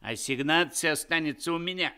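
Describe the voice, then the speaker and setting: An actor playing a greedy, mocking shopkeeper who threatens two boys in a radio play. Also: male voice, a little high, brisk, bright, forceful tone, smooth. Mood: menacing. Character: sly greedy shopkeeper man, middle-aged, menacing. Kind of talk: Soviet radio play acting.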